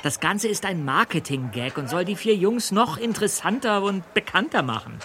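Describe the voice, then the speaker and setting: A knowing, matter-of-fact teenage detective in an audio drama. His high, bright voice moves in a lively way over faint background ambience. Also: moderate pace, slight background noise, heavily acted. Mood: knowing, casual. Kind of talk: German audio drama acting.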